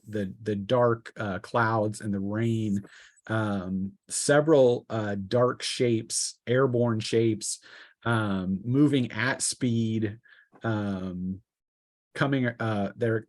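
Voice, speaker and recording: A man narrating a tabletop role-playing game as game master, in a halting, hesitant delivery with improvised phrasing. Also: medium pitch, no room sound, clean studio sound.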